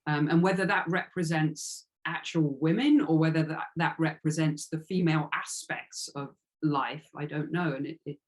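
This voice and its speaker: A woman's voice: sits low, moderate pace, hardly any pauses. A woman giving a reflective interview as an author, speaking earnestly and tentatively and circling around her phrases.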